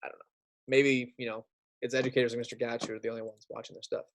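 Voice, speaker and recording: A man's voice: mid-range voice, level tone, very fast, a little hiss or hum, rough recording. A man teaching young students in an informal read-aloud style, chatty and often checking in with them. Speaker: elementary school teacher. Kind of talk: read-aloud lesson with casual asides.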